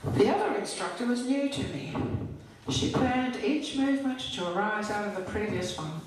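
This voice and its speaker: Older woman, poetry recital, measured phrasing, mid-range pitch, distant, heavy hall echo. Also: animated. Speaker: poet reading her own poem. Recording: distant, very echoey hall with background noise.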